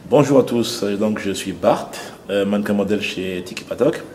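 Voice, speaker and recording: A man from Cameroon who works as a fashion model, speaking earnestly in an interview and pausing often with fillers. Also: on the low side, darkish tone, faint music behind. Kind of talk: testimonial interview.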